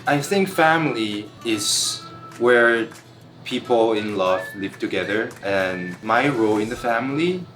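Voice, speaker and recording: Low-voiced young man, echoey room. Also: lively intonation. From Taiwan. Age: 20s. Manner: earnest, halting, with restarts. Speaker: university student interviewee. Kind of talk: casual interview answers. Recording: roomy echo with guitar music.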